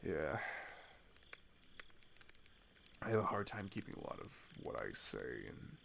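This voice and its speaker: Low, gravelly teenage climber, acted by a man. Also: very animated, fairly bright tone, slight background noise, heavily acted. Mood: candid, sheepish. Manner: halting, confiding, conversational. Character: open-hearted teenage male climber fleeing across a border, weary but caring. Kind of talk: ASMR roleplay audio drama.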